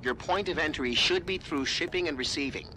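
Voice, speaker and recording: An actor playing a snippy, condescending tech colleague giving directions in a video game. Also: male voice, fairly high pitch, brisk, bright, forceful tone. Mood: dry, condescending. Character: snippy, condescending male tech support colleague over radio. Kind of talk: video game cutscene acting. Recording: clearly noisy background with vehicle ambience.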